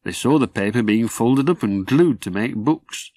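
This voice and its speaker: A man reading his own children's story as a measured, scripted narration. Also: medium pitch, lively intonation, a little mellow, dry recording.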